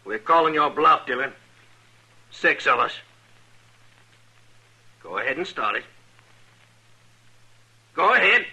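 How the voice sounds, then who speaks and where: An actor playing a jeering young outlaw in a gang, loud and mocking, in 1950s radio drama acting. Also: male voice, fairly high pitch, sing-song, slow pace, pauses often, husky, exaggerated voice, low-quality recording.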